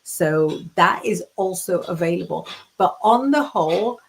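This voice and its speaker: Woman from the UK, tarot reader, talkative and animated, reads comments aloud. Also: on the low side, measured pace, vocal fry, rough recording. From United Kingdom. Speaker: psychic tarot reader, guest teacher. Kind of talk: casual livestream teaching chat.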